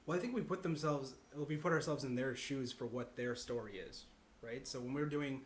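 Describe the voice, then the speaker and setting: A man's voice: mid-range voice, moderate pace. A man chatting casually as a podcast guest, explaining his ideas in a rambling, conversational way. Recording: clear background noise.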